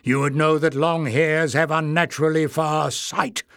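A man's voice: on the high side, slow pace, fairly bright tone, smooth, no echo, clean studio sound, voice pushed up. A man voicing a wrinkled old male goblin official who gives stern, scolding orders. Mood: imperious.

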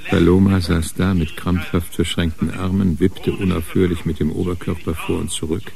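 An actor narrating a crime story in a scripted radio drama, grave and descriptive. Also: male voice, low voice, little pitch movement, moderate pace, vocal fry, a crowd in the background.

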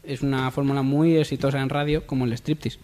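A man's voice: medium pitch, flat delivery, brisk, mellow. Comic radio panellist: male, mid-range, casual banter.

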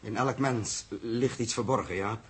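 An actor playing a brooding, philosophical young male crewman in a 1960s radio drama, with a husky voice. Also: medium pitch, a little slow. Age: young adult.

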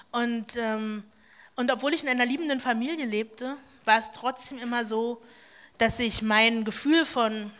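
A woman from East Germany giving a personal account on a panel, reflective and halting, with many fillers and restarts. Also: a little high, sharp tone. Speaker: panel speaker.

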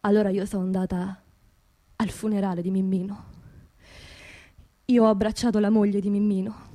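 An actress playing a grieving working-class widow in a radio theatre monologue, with a Roman accent and an intimate, halting delivery that repeats phrases. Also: female voice, mid-range voice, level tone, warm tone, natural voice. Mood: quiet, ashamed. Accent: central Italian, Roman. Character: grieving working-class widow of a construction labourer, haunted, indignant.

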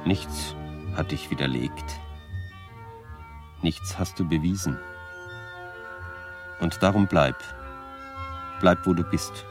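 An actor playing a solemn reciter of a poetic lament in a radio drama, speaking in spare, halting phrases. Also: male voice, low voice. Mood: solemn, elegiac. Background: violin music.

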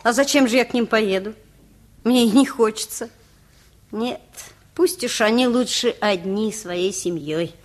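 A woman's voice: a little high, unhurried, a little hiss or hum, natural voice. An actress in a radio play playing a mild, plain-spoken woman with a simple, homely manner. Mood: mild, reluctant.

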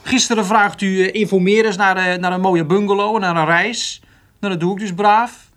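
An actor playing an exasperated, headstrong young farmer's son, insistent and protesting in a radio drama. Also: male voice, high voice, steady tone, normal speed, a bit bright. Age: young adult.

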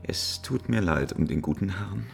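Callous hired killer, very deep and gravelly, sly, matter-of-fact, vintage 1950s radio drama. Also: male voice, expressive, normal speed, music in the background, full character voice. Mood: grimly sly. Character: callous, businesslike male hired killer, rough bandit.

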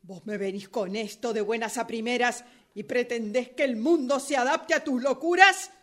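A woman's voice: on the high side, normal speed, a bit bright, close to an everyday voice. A woman with an Argentine accent playing a stern, reproachful married sister in an amateur radio drama, blunt and scolding.

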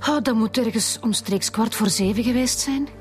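A woman's voice: a little high, normal speed, faint music behind, natural voice. A woman acting as a guarded, cynical Flemish maths teacher in a radio drama. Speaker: actor in a radio serial.

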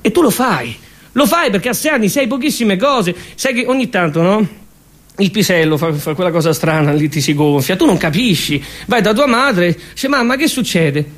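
A man's voice: high voice, animated, moderate pace. A man with a Roman accent performing a theatrical comic monologue, emphatic and repetitive.

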